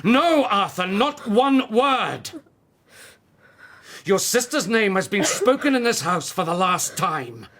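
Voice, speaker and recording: A man's voice: high voice, big pitch swings, slow pace, fairly bright tone, exaggerated voice. An actor playing a stern, unforgiving father in a radio drama, speaking with solemn command. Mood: grave, stern. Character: stern, unforgiving father forbidding his daughter's name.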